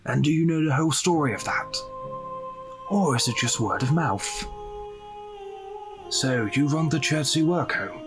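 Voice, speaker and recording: A man playing a kindly, principled older gentleman in a period audio drama, earnest and genteel. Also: a little high, unhurried, long pauses, over music, lightly acted. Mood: earnest, questioning.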